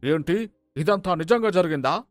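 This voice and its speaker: A man's voice: high voice, expressive, normal speed, no room sound, soft background music, voice pushed up. A man voicing a secretive, concerned husband in a cartoon family story, questioning with urgency. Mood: concerned, surprised. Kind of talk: cartoon moral story dialogue.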